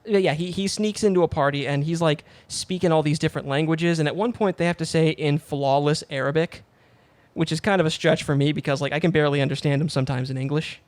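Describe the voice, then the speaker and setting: A man's voice: on the high side, fairly even intonation, quick pace, no echo. A man hosting a casual podcast, rambling with lots of fillers and casual swearing. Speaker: podcast host.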